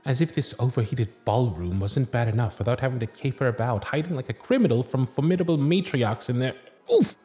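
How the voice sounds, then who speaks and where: An actor playing a wry, honourable young Victorian lord, charming and a little vain, speaking formal, courtly in a romantic audio roleplay. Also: male voice, medium pitch, expressive, brisk, few pauses, warm tone, breathy edge, soft background music. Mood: exasperated. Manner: formal, courtly, old-fashioned diction.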